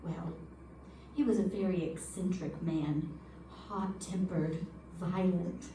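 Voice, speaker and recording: A woman's voice: on the low side, fairly even intonation, slow pace, dark tone. A woman playing an anxious, earnest man with information to give in an old-time radio drama. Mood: grave, convinced. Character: anxious, earnest male informant fearing for his life. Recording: echoey room, distant mic, background noise.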